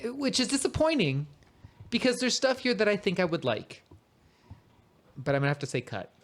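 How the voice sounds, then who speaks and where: Mid-pitched male podcast co-host. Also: big pitch swings, moderate pace. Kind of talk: casual chat.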